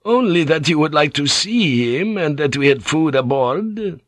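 A man playing a sly, smooth-talking middle-aged Jesuit priest who speaks softly and draws out his words. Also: fairly high pitch, animated, dry recording, voice pushed up. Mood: reproving. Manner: soft, coaxing, drawn-out.

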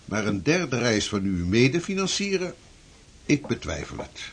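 A husky, lively old-time preacher. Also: male voice, mid-range voice, measured pace, heavily acted. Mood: earnest. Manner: lively, formal old-fashioned speech. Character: shrewd 16th-century Dutch preacher, fierce against Spain. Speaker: preacher and cartographer. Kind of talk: radio drama acting.

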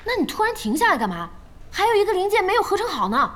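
A woman's voice: extremely high pitch, big pitch swings, quick pace. An actress playing an earnest, supportive young woman who is a gaming partner in a Chinese audio drama. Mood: worried, curious.

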